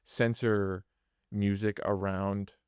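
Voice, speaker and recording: A man's voice: lowish voice, level tone, slow pace, darkish tone, clear, resonant tone, no echo, clean studio sound. A young man, a musician co-hosting a podcast, chatting casually and rambling with lots of filler words. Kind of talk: casual chat.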